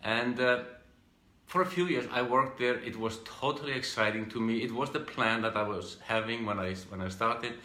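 A middle-aged man from Iceland with an Icelandic accent gives an informal live talk about his own past, speaking haltingly with repeated words and restarts. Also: mid-range voice, bright, forceful tone, reverberant. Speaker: speaker giving a business training talk.

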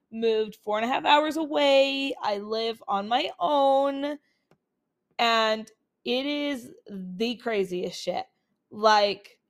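Chatty young woman on a laptop mic. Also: on the high side. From Utah. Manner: chatty, rambling, upbeat with frequent fillers. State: voice still recovering from colds. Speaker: podcast host. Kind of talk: casual solo life-update podcast. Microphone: laptop built-in mic.

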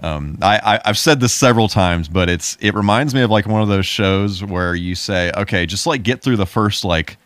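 A man's voice: fairly low pitch, moderate pace, hardly any pauses, fairly bright tone, dry recording. A man in a casual, rambling and enthusiastic chat about video games, as a podcast co-host.

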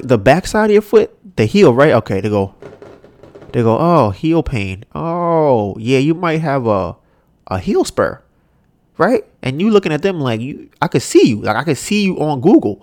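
A man's voice: moderate pace, no room sound, studio quality. A young man hosting a podcast, ranting casually with a stuffy, nasal voice and lots of swearing. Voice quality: congested, nasal. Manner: animated, mocks others in high put-on voices, swears. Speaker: podcast host. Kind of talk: casual solo rant.

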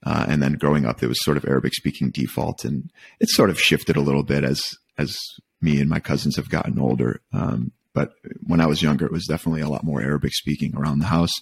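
Very low-voiced, thoughtful man in conversation. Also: fairly even intonation, gravelly, husky. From Michigan, United States. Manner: thoughtful, halting, rephrases as he goes. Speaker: podcast guest. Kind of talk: casual reflective interview talk.

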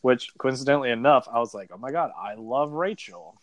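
A man's voice: medium pitch, lively intonation, brisk, heavy background noise. A young adult man telling a story as a podcast guest in a casual chat, filling his talk with "like" and acting out quotes. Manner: chatty, peppered with "like", acts out quotes. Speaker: podcast guest, reality game contestant.

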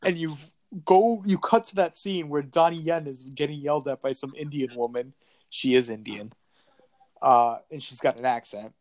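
A woman with a notably low, deep voice chats casually about a movie, dropping sarcastic asides and frequent laughs. The sound is dry and close, with no room around it. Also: moderate pace, a little mellow, no room sound. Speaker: podcast co-host. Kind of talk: casual movie recap chat.

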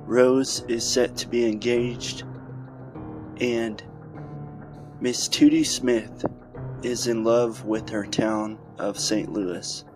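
A man's voice: mid-range voice, steady tone, takes it slowly, pauses often, poor audio. A man speaking as a podcast host, giving a casual introduction to a show. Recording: loud music behind the voice. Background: music.

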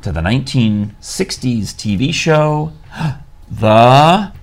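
Gravelly-voiced man hosting a morning show. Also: medium pitch, animated, slow pace. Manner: jokey, laughs often, rambling asides. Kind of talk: casual studio banter.

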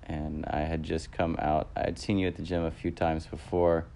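A man's voice: extremely low pitch, level tone, moderate pace, warm tone, a little hiss or hum. A man in his thirties co-hosting a casual podcast with his partner, talking in a rambling way with many fillers and self-corrections. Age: 30s. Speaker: podcast co-host. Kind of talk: casual couple chat.

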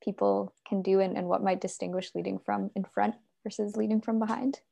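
A woman interviewing a guest, asking measured questions with frequent pauses. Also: medium pitch, little pitch movement, vocal fry, mellow. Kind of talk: conversational interview.

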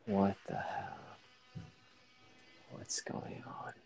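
A man's voice: very slow, a little mellow. A man hosting a podcast in casual chat, rambling and often restarting his sentences. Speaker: podcast host.

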